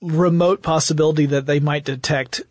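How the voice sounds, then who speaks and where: Male podcast host, lighter higher register, keen conversational explainer, dry studio sound. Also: fairly even intonation, moderate pace, no echo. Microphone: close studio microphone.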